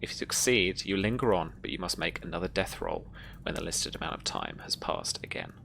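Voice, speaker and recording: A woman with a very low voice acts as game master for a tabletop roleplaying session. Her delivery is gravelly and halting as she reads rules and narrates. There is some background noise behind her. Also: lively intonation, fairly bright tone.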